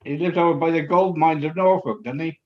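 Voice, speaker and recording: A man's voice: fairly high pitch, steady tone, fairly quick. Wry storytelling man on a lo-fi line. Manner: laughing, tells stories with wry asides. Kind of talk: casual chat.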